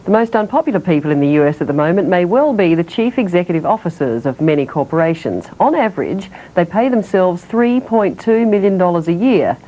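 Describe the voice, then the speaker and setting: A woman presenting news in a crisp, even newsreader delivery. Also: lowish voice, a little fast, few pauses, low-quality recording. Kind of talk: news reading.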